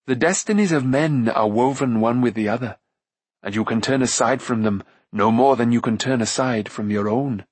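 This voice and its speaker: A wise warrior prince from a storybook fantasy, read by a male narrator. He is grave and compassionate, speaking in measured, solemn phrases with a gentle authority. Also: medium pitch, husky, no room sound, lightly acted. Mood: wise counsel.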